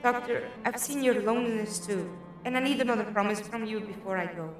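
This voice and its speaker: A woman's voice: fairly high pitch, fairly quick, faint music behind, close to an everyday voice. A woman playing a brave, selfless young woman in a fan audio drama, speaking in an earnest, wistful way. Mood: tender, wistful. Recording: echoey, distant voice.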